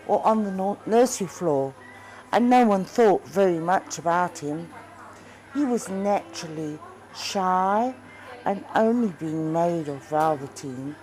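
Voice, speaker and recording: A woman narrating a children's story from a script, in a measured, expressive read-aloud manner. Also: low voice, low-quality recording. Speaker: storybook reader.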